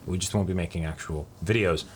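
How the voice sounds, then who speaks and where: A man's voice: low-pitched, steady tone, fast. A man chatting casually as a co-host, chiming in with short supportive remarks. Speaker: co-host of a reaction channel. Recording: faint background noise.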